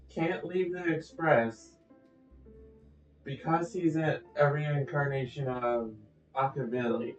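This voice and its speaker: Guy streaming a game, slow casual rambling, thinking out loud, echoey. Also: fairly high pitch, far from the mic. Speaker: game streamer playing through a story quest. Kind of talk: casual let's-play commentary. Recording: echoey, dull audio with music. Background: game music.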